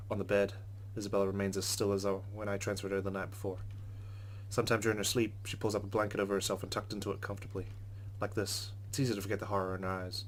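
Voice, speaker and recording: Dude doing a let's play, reads the story text quick in a lowish voice, casual. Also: male voice, a little hiss or hum. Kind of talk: reading game narration aloud, casual commentary.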